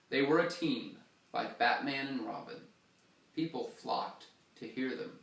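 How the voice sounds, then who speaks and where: A man's voice: mid-range voice, far from the mic. Man reading a story in an echoey church. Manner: expressive, dramatic pauses and shifts in loudness. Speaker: reader.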